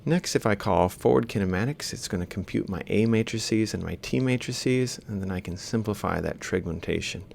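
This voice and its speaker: A man's voice: fairly low pitch, normal speed, vocal fry, few pauses, a little mellow, slight background noise. A man lecturing informally, explaining a worked example step by step as he writes. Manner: explains step by step while writing. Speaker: lecturer in robotics.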